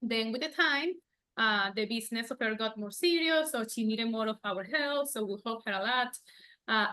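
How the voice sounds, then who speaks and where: A woman with a Venezuelan accent and Spanish as her first language speaks in a fairly high, very bright voice. She tells personal stories casually as a guest in an interview. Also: rough recording. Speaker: guest, bakery owner.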